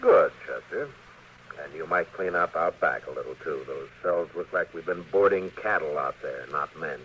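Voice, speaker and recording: An actor playing an Old West marshal in a radio western, dry and understated with easy authority. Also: male voice, normal speed, fairly bright tone. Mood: dry, easygoing authority. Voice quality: deep, rumbling. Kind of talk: 1950s radio western acting. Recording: dull, noisy old radio recording.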